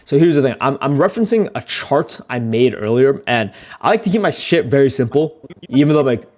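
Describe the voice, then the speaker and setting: A man's voice: animated, brisk. A young man chatting casually on a livestream while he edits, rambling, joking at his own expense and swearing now and then. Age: young adult. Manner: rambling, self-mocking, swears casually, laughs often; joking, gets louder and higher when telling stories. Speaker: video creator live-streaming his editing.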